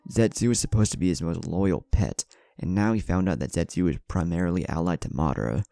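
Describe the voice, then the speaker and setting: A man's voice: low-pitched, fairly quick, vocal fry, dark tone, dry recording. A man narrating a scripted story with dialogue. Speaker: fan fiction narrator.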